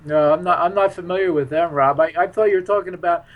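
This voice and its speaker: A man with a fairly high voice talks as a guest in an interview. His delivery is casual and somewhat halting, as he feels his way through what he says. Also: dull audio. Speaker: interview guest, UFO author. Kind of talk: casual conversation.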